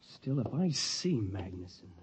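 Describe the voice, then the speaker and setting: An actor playing a jittery, tormented man gripped by an urge to kill, agitated, halting and insistent, in 1950s radio drama style. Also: lowish voice, big pitch swings, a little hiss or hum.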